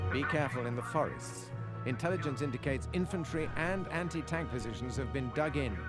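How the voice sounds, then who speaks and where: A man's voice: fairly high pitch, natural voice. A man playing a seasoned British military officer in a World War II game, clipped and authoritative. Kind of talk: video game voice acting, WWII briefing.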